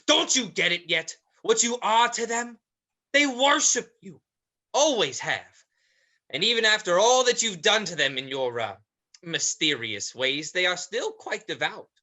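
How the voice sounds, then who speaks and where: Casting: woman as menacing, scornful ringleader beast, theatrical stage delivery, slow and widely swooping. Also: medium pitch, expressive, pushed and bright. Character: sardonic, menacing ringleader creature tormenting a teenage boy. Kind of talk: livestreamed stage play reading.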